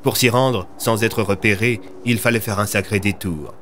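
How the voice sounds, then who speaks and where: A man's voice: on the low side, normal speed, fairly bright tone, faint music behind. A man narrating a scripted audio drama as a storyteller.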